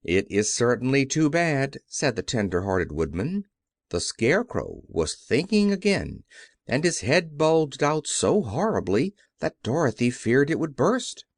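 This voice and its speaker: A man's voice: medium pitch, very animated, unhurried, breathy edge, dry recording. Man, storybook narration, very varied intonation, close dry recording. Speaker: audiobook narrator. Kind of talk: scripted children's story narration.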